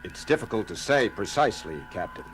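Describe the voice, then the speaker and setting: A man's voice: fairly low pitch, very animated, a little fast, a little hiss or hum. A man playing a logical alien starship science officer, speaking formally and precisely in a science fiction audio drama. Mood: measured. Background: loud dramatic music.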